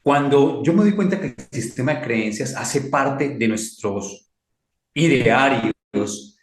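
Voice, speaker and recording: A man from Colombia with a Colombian accent, talking as a mentor in a measured, reflective way. He leans on key phrases and says them twice. His voice has a roomy echo around it. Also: mid-range voice. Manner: slow, emphatic, repeats key phrases. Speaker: guest speaker, mentor. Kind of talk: reflective talk.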